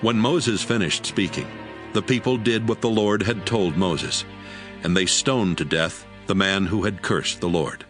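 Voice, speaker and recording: A man with a fairly low voice reads scripted scripture narration. He serves as the narrator of a dramatized audio book. Music sits clearly behind his voice. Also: measured pace, fairly bright tone.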